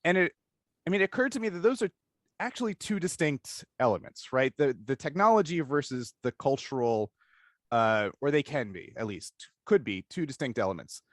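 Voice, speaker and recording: A man's voice: mid-range voice, animated, no room sound. Man thinking aloud as interview host, dry sound. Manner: halting, thinking aloud, rambling. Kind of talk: casual discussion interview.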